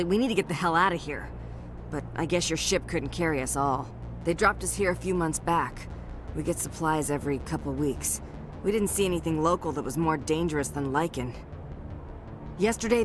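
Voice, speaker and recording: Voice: mid-range voice, brisk, over music, close to an everyday voice. An actor voicing a battle-weary, gritty soldier lieutenant in a video game, with blunt, clipped military talk. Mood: weary, frank.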